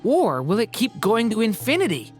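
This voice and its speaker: A woman's voice: fairly high pitch, wildly swooping pitch, normal speed, faint music behind. A woman voicing a frank, inquisitive young woman in an anime game, conversational and casual as she explains. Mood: curious, matter-of-fact.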